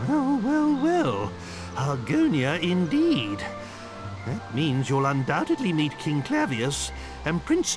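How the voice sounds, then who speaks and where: A man's voice: fairly high pitch, poor audio. An actor playing a pompous, doting old king in a video game, with regal, exclamatory phrasing. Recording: music playing behind the voice. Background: game music.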